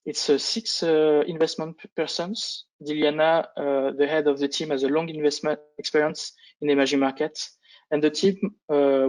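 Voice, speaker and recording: A man with a slightly high voice and very flat intonation presents like a fund analyst on a conference call. He is a non-native English speaker, and his delivery is halting, often restarting phrases. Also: low-quality recording. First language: not English. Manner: halting, hesitant delivery with repeated false starts. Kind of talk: semi-scripted business presentation on a conference call.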